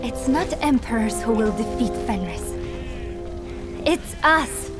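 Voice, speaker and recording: A woman's voice: a little high, lively intonation, takes it slowly. An actress playing a determined, idealistic young woman fighter in a video game, earnest and defiant. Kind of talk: video game cutscene acting. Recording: cinematic music behind.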